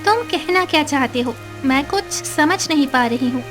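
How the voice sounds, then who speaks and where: A woman voicing a gentle young mermaid, sweet and earnest, in a dramatised cartoon story. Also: sits high, lively intonation, moderate pace, music in the background. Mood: puzzled. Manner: earnest, pleading, sweet-voiced.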